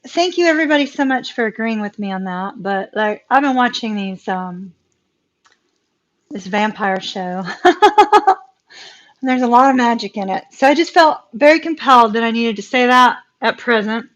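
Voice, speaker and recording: Chatty hoarse woman tarot reader. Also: a little high, lively intonation, moderate pace, fairly bright tone, highs cut off. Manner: chatty, laughs often, repeats words for emphasis. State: voice going hoarse. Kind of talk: live tarot reading stream.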